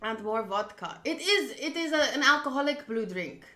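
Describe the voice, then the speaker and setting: A young woman streaming a game, talking to chat in a playful, animated, jokey way. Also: high-pitched, moderate pace, reverberant, faint music behind. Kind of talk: casual livestream reaction.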